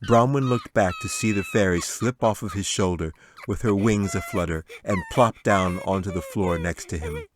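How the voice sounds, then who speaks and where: A man's voice: fairly low pitch, huge pitch swings, moderate pace, few pauses, crowd noise. A man narrating a fantasy audiobook in a dramatic, scripted storytelling manner. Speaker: author reading his audiobook.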